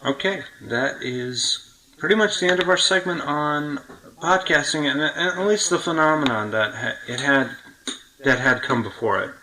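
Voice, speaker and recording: A man's voice: fairly high pitch, expressive, moderate pace, sharp tone, slight background noise, low-quality recording. A man hosting a casual podcast interview, asking questions in an eager, insistent way. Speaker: podcast host.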